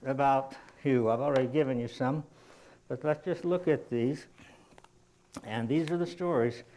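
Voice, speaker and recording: A man giving a lecture and telling anecdotes to an audience in a measured, halting, explanatory way. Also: a little high, darkish tone, poor audio.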